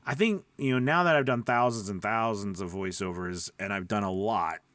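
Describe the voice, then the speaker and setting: A man's voice: on the low side, moderate pace, fairly bright tone, no room sound. A man talking informally as a voice-over coach, explaining step by step, repeating points and checking in with listeners. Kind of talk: informal instructional talk to camera.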